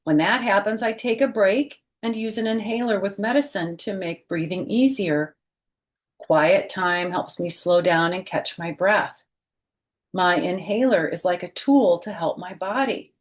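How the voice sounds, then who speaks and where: A woman's voice: mid-range voice, measured pace, lightly acted. A woman voicing a friendly, open young boy from a storybook, speaking simply and earnestly.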